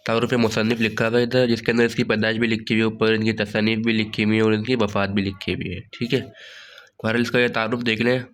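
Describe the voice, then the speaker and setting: A hoarse man with a sore throat walking students through a study guide in a relaxed way, often saying "theek hai". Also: on the low side. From Pakistan. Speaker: online tutor. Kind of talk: casual study-guide walkthrough.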